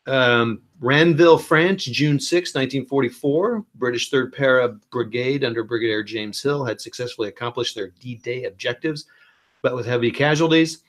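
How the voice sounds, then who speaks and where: A man hosting a board game stream, calmly explaining the rules with lots of ums, talking into a computer mic. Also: mid-range voice. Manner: unhurried, many ums, thinks aloud over rules. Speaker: wargaming stream host. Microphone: computer mic at his desk.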